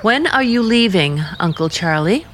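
A woman's voice: medium pitch, expressive, normal speed, a bit bright, slight background noise, lightly acted. An actress playing an earnest, troubled young American small-town woman with restrained resolve, in vintage radio drama style. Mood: cold, resolute.

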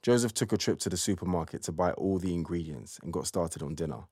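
A man narrating an audio play in a measured, scripted storytelling style. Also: low-pitched, darkish tone, husky, dry recording, studio quality.

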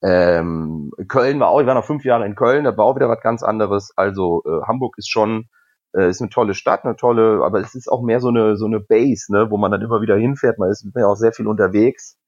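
A man's voice: on the low side, a little fast, a little mellow, dull audio. A man from Hesse with a light Hessian accent, chatting casually and jokingly in a podcast conversation. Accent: Hessian German. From Germany, Hesse. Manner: joking, rambling, with fillers.

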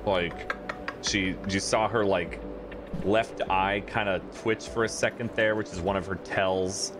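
A man's voice: low-pitched, steady tone, unhurried, background noise. A man running a tabletop game as game master, explaining rules and reading tells with amused ease.

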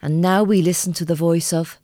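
A woman voicing a radio presenter with a measured, announcing delivery. Her voice is fairly low and dry, as if close in a small booth. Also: steady tone, warm tone, no echo, lightly acted. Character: radio presenter hosting a panel.

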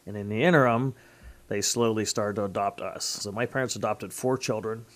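A man's voice: fairly low pitch, a little fast. An American man in his fifties speaking conversationally as an interviewed state legislator, recounting personal and local stories. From Pennsylvania, United States.

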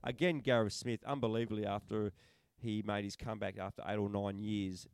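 A man's voice: lowish voice, little pitch movement, brisk, clear, resonant tone, no echo, clean studio sound. A middle-aged man co-hosting a podcast, recapping fights in casual, enthusiastic chat. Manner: enthusiastic recaps, many restarts and fillers. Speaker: podcast co-host.

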